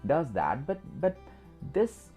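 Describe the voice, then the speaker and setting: A man's voice: fairly high pitch, huge pitch swings, takes it slowly, dark tone, faint music behind. A man with an Indian accent, a native Hindi speaker, giving an instructional talk as host, repetitive and emphatic with frequent filler phrases. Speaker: host of a skills channel.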